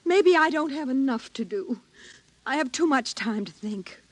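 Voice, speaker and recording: A woman's voice: sits high, animated, normal speed, dry recording. An actress playing a nervous, pleading housewife and mother in mid-century radio drama style. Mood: troubled, pleading. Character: anxious, fearful housewife and mother, pleading and insistent.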